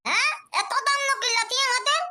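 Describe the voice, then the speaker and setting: Childlike cartoon villager, extremely high squeaky voice, bright, babyish lisp, nagging haggler, Purulia Bengali accent. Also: quick pace, heavily acted. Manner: pleading, nagging village bargainer. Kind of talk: comedy cartoon dialogue.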